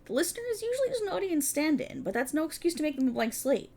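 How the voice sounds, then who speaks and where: Woman acting a prickly, animated female police officer. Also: high voice, fast. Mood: instructive. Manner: wry, bossy, explanatory, emphatic. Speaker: voice actor in audio roleplay. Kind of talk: scripted ASMR roleplay, one-sided dialogue.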